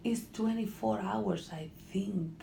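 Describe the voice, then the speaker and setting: Gravelly, halting Honduran woman in an echoey room. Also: medium pitch, dark tone. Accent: Honduran Spanish. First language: Spanish. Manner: halting, restarts phrases, searches for English words. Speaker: interviewee. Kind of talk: casual conversation. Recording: echoey room with faint noise.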